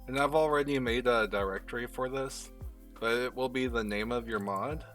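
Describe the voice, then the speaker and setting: A man narrating a step-by-step software tutorial in a casual, informal way, talking through each step as he does it. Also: medium pitch, moderate pace, far from the mic. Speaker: tutorial host. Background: background music.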